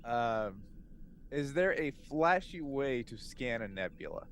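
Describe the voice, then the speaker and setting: A man's voice: mid-range voice, very animated, slow pace. Casual man playing a tabletop game, faint noise. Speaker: player in a tabletop roleplaying game.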